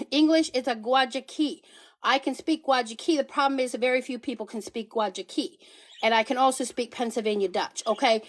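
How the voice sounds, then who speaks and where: Woman host, high voice, sassy emphatic delivery, playful accent switches; casual phone livestream. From Paraguay. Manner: sassy, emphatic, pleading and teasing the viewers. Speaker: livestream host promoting products.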